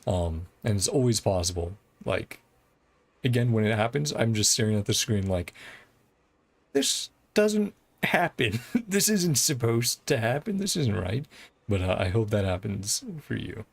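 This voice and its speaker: Easygoing game streamer, mid-pitched. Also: very animated, unhurried, vocal fry, no echo. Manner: laughs often while talking. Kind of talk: casual livestream gameplay commentary and chat.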